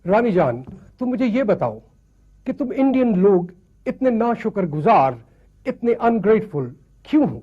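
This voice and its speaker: A pompous colonial sahib, high and husky. He declaims slowly and swoops about, imperious and quick to take offence, in theatrical television drama style. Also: big pitch swings, muffled recording, heavily acted. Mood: haughty, peeved. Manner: haughty, slow, declamatory. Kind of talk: theatrical screen drama acting.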